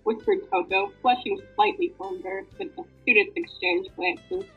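A woman's voice: mid-range voice, big pitch swings, moderate pace, slightly rough, soft background music. A woman reading fan fiction aloud casually, with informal, sweary joking asides. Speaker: fan fiction reader and commentator. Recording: thin, phone-like lo-fi audio.

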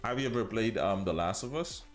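Low-voiced Haitian man streaming a game. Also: expressive, brisk, soft background music. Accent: Haitian with Caribbean and American influences. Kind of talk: casual livestream chat with viewers over gameplay.

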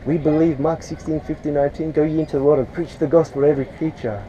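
A man preaching scripture outdoors to a passer-by, earnest and quick to pray in tongues, recorded on a chest-worn camera. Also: on the high side, fairly even intonation, mellow, muffled recording, a little hiss or hum. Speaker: street preacher. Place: outdoors on a street beside a shopping centre car park. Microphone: chest-worn action camera.

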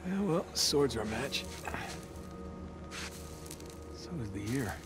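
A man's voice: medium pitch, big pitch swings, moderate pace, over music, lightly acted. A man playing a wry, understated, adventurous American treasure hunter in a naturalistic video game performance. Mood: focused, wry. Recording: game ambience noise behind.

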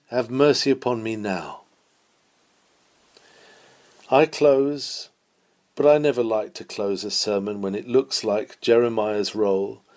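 A man's voice: mid-range voice, a little mellow. Solemn man reading an old sermon. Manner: solemn, oratorical, exhortatory delivery. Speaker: reader of historical sermons. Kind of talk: dramatic reading of a Victorian sermon.

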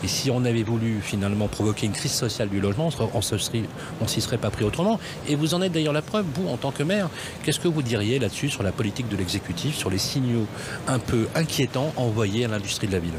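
A man's voice: medium pitch, fast. A man hosting a live radio interview with mayors, pressing them with emphatic questions, recorded on a table mic at a trade fair stand. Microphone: table radio mic with headphones. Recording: noisy trade fair hall.

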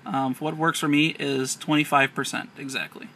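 A man's voice: fairly high pitch, little pitch movement, normal speed, sharp tone. An American man narrating an unscripted hands-on tech tutorial step by step, recorded on a handheld camera. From United States. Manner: explanatory, step-by-step instruction. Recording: noisy amateur handheld camera audio.

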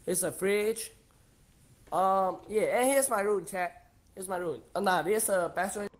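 A young man carries his phone from room to room, showing his house to a livestream audience. He speaks in halting, broken phrases and repeats his words as he goes. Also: sits high. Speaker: streamer. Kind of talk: casual livestream house tour. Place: house rooms, walking with phone.

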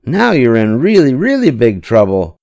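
A man's voice: mid-range voice, huge pitch swings, normal speed, vocal fry, darkish tone, pitched above the performer's own voice. A man voicing a bossy, dramatic little girl aardvark who tattles, in a children's storybook. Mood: taunting.

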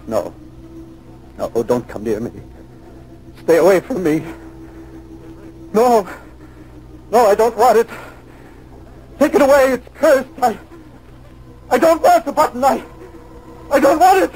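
An actor in a 1940s radio drama playing a guilt-ridden man cracking into terrified madness, halting and repeating himself. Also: very high voice, very animated, a little mellow, breathy edge, exaggerated voice. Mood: hysterical terror. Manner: halting, repetitive, overwrought. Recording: noisy 1940s radio recording.

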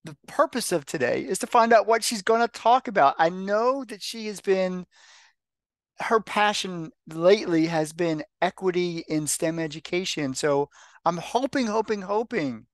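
A man's voice: sits high, a little slow, no room sound, clean studio sound. A man in his forties hosting a podcast over a video call on a headset, upbeat with stumbling restarts. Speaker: podcast host. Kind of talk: casual interview talk. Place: home room, video call.

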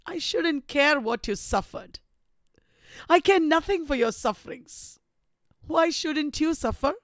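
A bitter, dying young heroine of a classic novel, read aloud by a woman, proud and full of reproach. The voice is high and unhurried, dramatically delivered. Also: animated, dry recording, studio quality. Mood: bitter, reproachful.

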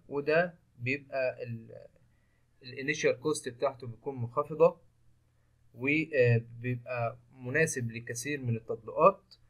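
A man's voice: medium pitch, fairly bright tone. A man with an Egyptian Arabic accent giving a technical lecture over slides, explaining step by step and mixing in English technical terms. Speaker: engineering lecturer. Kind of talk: screen-recorded technical lecture.

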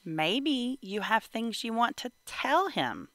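Slow, lively woman reading a storybook. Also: fairly high pitch, dry recording. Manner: animated, measured storytelling. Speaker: storybook reader for children. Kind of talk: expressive children's read-aloud narration.

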